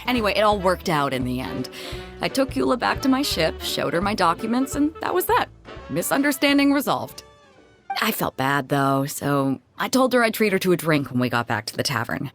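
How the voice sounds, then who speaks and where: A sociable, self-assured woman sea captain in a video game. Her voice sits a little low and swings freely with a breezy, colloquial ease. Music plays clearly behind her. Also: sing-song, normal speed. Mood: easygoing, rueful. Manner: casual, easygoing, colloquial. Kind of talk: video game cutscene acting. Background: background music.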